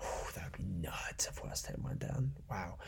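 A man co-hosting a podcast, chatting casually about football and often thinking aloud in informal, halting phrases. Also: low voice, creaky, husky, in a whisper. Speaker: podcast co-host.

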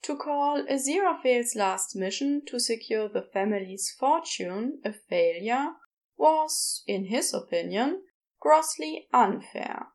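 A woman's voice: fairly high pitch, lively intonation, takes it slowly, a little mellow. A woman narrating a scripted story in an audiobook style.

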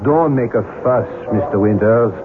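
An actor playing a polite but firm police lieutenant, speaking formally in a 1970s radio drama. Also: male voice, medium pitch, close to an everyday voice. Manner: courteous, formal, measured. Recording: tinny, noisy old radio recording.

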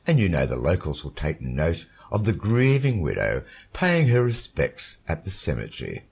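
A sardonic dead husband taunts his widow, as a man voices him. His very deep voice swings widely in pitch and grinds with gravel. A breathy, husky edge hangs under the warm, controlled delivery. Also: huge pitch swings, moderate pace, heavily acted. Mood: mocking. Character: mocking dead husband, middle-aged man, cynical.

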